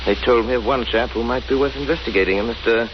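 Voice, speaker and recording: An actor playing a composed gentleman detective with measured, authoritative, formal delivery in an old-time radio drama. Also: mid-range voice, lightly acted. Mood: deductive, determined. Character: composed, deductive gentleman detective, grimly determined. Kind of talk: 1930s radio drama acting. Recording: muffled, noisy old radio recording.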